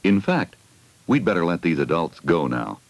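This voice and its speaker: A man's voice: deep voice, big pitch swings, moderate pace, very noisy. A man reading a scripted educational film narration for children, putting simple questions to them, on a 1980 film soundtrack.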